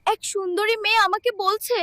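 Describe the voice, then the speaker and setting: A woman's voice: extremely high pitch, steady tone, brisk, pushed and bright, no room sound, clean studio sound. A woman voicing a naive, plain-spoken young village boy in a cartoon fairy tale. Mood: worried.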